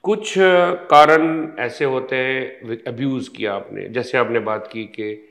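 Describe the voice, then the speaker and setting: Slow, measured male doctor. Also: medium pitch, creaky, fairly bright tone. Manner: slow, measured, explaining step by step with pauses. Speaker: ENT surgeon guest. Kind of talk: explanatory health interview.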